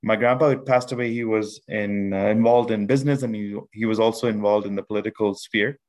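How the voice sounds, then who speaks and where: Measured, lower-voiced man from Pakistan. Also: steady tone, darkish tone. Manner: measured, with frequent restarts and fillers. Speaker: podcast guest. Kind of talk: reflective storytelling interview.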